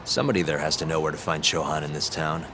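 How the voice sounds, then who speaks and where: An actor playing a wry, tough investigator in a video game, talking in a dry, casual, understated way. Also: male voice, a bass voice, fairly even intonation, fast talker, background noise. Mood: businesslike. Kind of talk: video game cutscene acting.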